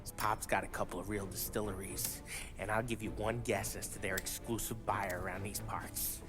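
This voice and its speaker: An actor playing a brash, excitable American gangster henchman in a game cutscene, talking in cocky street slang. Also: fairly low pitch, moderate pace, natural voice. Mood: storytelling, sly. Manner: slangy, cocky, animated street-tough talk. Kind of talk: video game cutscene acting. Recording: music behind the voice.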